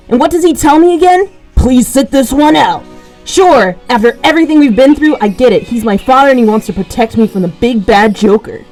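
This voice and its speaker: A woman as proud, scornful boy sidekick, high-voiced. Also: darkish tone, lightly acted, low-quality recording. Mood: sarcastic. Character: proud, scornful young boy sidekick, loyal to his father. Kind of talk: amateur superhero radio drama monologue.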